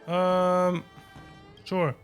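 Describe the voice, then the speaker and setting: Slow-talking, laid-back man streaming games. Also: sits high, monotone. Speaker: streamer reacting to a game. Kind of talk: casual game reaction commentary. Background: game music.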